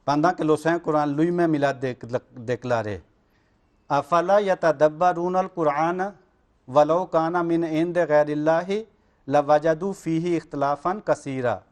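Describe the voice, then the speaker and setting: A man, speaking in a fairly high voice. He argues insistently as an interview guest, circling back to check that he is understood. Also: normal speed, darkish tone. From Pakistan. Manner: insistent, repeats "vous comprenez?". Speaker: Ahmadi missionary guest. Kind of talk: religious interview argument.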